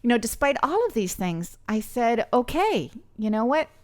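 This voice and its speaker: A woman's voice: on the high side, big pitch swings, moderate pace. A woman, from British Columbia, speaking earnestly and reflectively as a guest in a podcast interview. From British Columbia, Canada. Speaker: political candidate guest.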